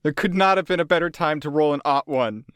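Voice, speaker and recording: A man's voice: fairly high pitch, quick pace, clear, resonant tone, no echo, clean studio sound. A man chatting casually and colloquially as a player in a tabletop roleplaying game. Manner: animated, colloquial. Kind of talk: casual, improvised game chat. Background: background music.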